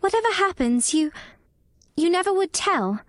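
A woman narrator plays a lonely, prickly little girl who speaks in an earnest, precise way. The voice is pitched well up. The sound is dry and close, like a storybook read at the mic. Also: big pitch swings, moderate pace, dry recording. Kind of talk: audiobook character reading.

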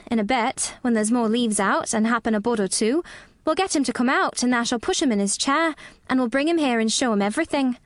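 A woman's voice: high voice, no echo, studio quality, voice pushed up. A woman voicing a spirited young girl who puts on a Yorkshire accent. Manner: proud, playful put-on dialect.

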